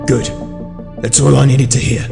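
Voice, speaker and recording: A man's voice: mid-range voice, flat delivery, normal speed, lightly acted. A man playing an officious starship lieutenant commander in an audio drama. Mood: smug, hurried. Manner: crisp, officious, smug. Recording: voice under loud music. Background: loud music.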